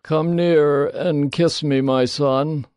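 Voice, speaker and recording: A frail old patriarch acted by a man speaks from a dramatised scripture. His voice is high and mellow, and his delivery is slow, solemn and declamatory, close and dry. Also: steady tone, smooth, no room sound. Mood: solemn blessing. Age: older.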